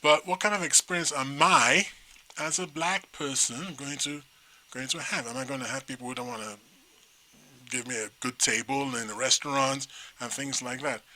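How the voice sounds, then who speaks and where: A middle-aged man from Nigeria co-hosting a talk show, chatting in a rambling, animated way with many restarts. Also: mid-range voice, normal speed. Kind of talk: casual chat.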